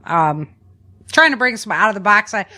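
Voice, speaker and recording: A woman's voice: mid-range voice, animated, moderate pace, sharp tone. A woman hosting a podcast, chatting casually about survival tips in an informal, plain-spoken way. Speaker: podcast host.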